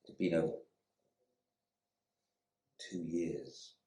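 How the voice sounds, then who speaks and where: A man's voice: rumbling low, fairly even intonation, slow, mellow. A man performing a dramatic monologue as a proud, resentful airman in prison, in clipped, brooding phrases. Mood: ashamed, reflective. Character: embittered English RAF airman imprisoned in India, proud and resentful. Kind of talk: dramatic monologue reading from a novel. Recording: echoey room.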